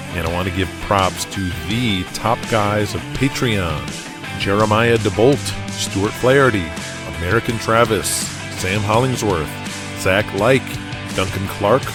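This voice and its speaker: A man hosting an audio drama podcast, reading a scripted introduction with tongue-in-cheek asides. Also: fairly low pitch.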